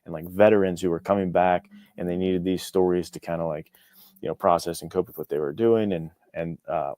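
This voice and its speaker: Deep-voiced young American man, casual chat. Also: steady tone, brisk, mellow, no room sound, studio quality. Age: young adult. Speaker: podcast guest, author.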